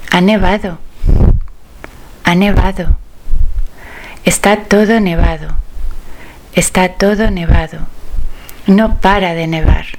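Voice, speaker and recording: Woman, language teacher, phrase drill, very slow, clear enunciation, lowish voice. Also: slight background noise, poor audio. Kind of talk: slow phrase drill, each line said twice.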